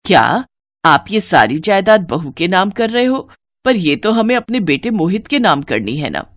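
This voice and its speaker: A woman's voice: lowish voice, very animated, normal speed. A woman voicing a distressed, scolding elderly mother-in-law in a cartoon, wronged and pleading. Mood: dismayed.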